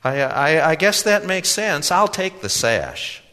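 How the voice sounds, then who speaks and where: A man's voice: fairly high pitch, sing-song, slow, bright, forceful tone, voice pushed up, heavily acted. A man voicing a naive, earnest young customer in a storybook tale, plaintive and unsure. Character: naive, earnest, hesitant young male customer seeking armor. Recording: reverberant hall.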